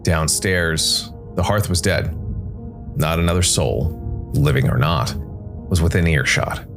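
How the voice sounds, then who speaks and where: A man narrating a scripted dark fantasy story in a measured way, with dramatic pauses. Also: deep voice, creaky. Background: background music.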